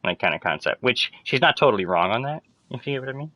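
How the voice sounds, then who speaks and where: A young man who grew up in French Canada with French as his first language, ranting casually to friends in a fast, rambling way full of fillers and swearing. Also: medium pitch, animated, pushed and bright, no echo. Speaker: streamer chatting with friends.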